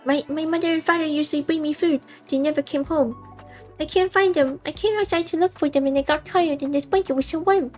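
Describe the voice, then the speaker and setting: A woman voicing a tiny, frightened baby mouse that talks in a squeaky, tremulous, breathless way. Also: fairly quick, a little mellow, faint music behind, close to an everyday voice.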